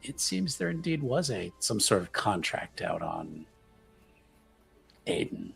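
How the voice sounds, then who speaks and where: A man's voice: fairly low pitch, very animated, slow, gravelly, a bit bright, soft background music, heavily acted. A man voicing a humble, pious servant in a tabletop game, speaking in a cautious, formal and hesitant manner. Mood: cautious, grave.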